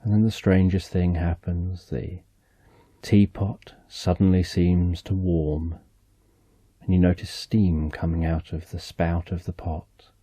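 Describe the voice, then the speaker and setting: A man narrating a slow, soothing guided relaxation story in the second person, speaking softly and gently. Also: low voice, little pitch movement, slightly rough, dry recording.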